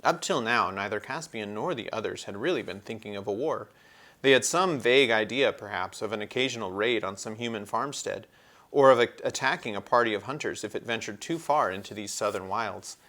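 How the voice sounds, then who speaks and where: A man's voice: on the low side, a little fast, a bit bright. A man narrating a children's storybook as a read-aloud. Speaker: storybook reader.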